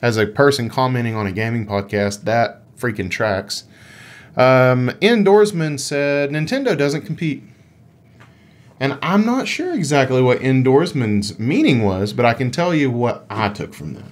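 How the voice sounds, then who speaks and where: A man's voice: medium pitch, lively intonation, unhurried. A man co-hosting a gaming podcast, chatting casually in a playful, rambling, explanatory way. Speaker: podcast co-host.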